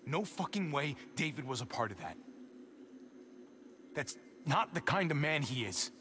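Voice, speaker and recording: A man's voice: a little high, very animated, measured pace, sharp tone, a little hiss or hum, heavily acted. An actor playing an earnest, protective American man surviving in a video game drama, speaking sincerely and firmly. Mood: indignant. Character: earnest, protective young survivor, loyal younger brother.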